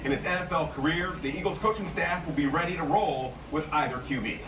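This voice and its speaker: A male sports anchor reading scores and headlines with a brisk, punchy delivery. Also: a little high, background noise. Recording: echoey broadcast audio from far off.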